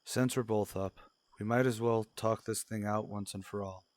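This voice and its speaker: A man playing a patient, concerned father in an old-time radio drama, gentle but firm. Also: fairly low pitch, fairly even intonation, normal speed, lightly acted. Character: patient, concerned father talking to his young son.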